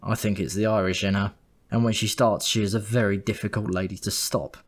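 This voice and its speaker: A man's voice: fairly low pitch, level tone, fairly quick. A man reading a comic first-person story as an audiobook narrator, in a wry, dry manner.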